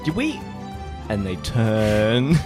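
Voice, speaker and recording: A man playing an improvised tabletop game, bantering casually in an animated, jokey way. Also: lowish voice, extremely slow. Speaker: player in an improvised audio drama. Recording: music behind the voice. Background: music.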